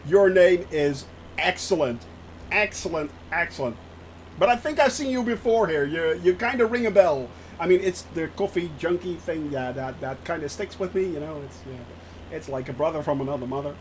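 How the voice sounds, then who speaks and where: A Dutch man with a clear Dutch accent talks his way through a live stream, chatty and excitable, often breaking into laughter. His voice sits fairly high and leaps up and down a great deal as he reacts. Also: normal speed, a little hiss or hum, poor audio. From Netherlands. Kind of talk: casual live-stream chat while flying a simulator.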